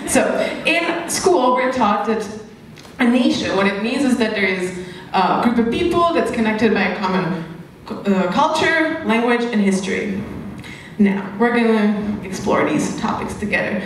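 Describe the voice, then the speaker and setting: Woman, stand-up comedian, rambling and giggly, fairly high voice, heavy room echo. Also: lively intonation, measured pace. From Hungary. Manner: rambling, giggly, self-interrupting delivery. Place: comedy club stage. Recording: echoey, noisy club room, distant mic.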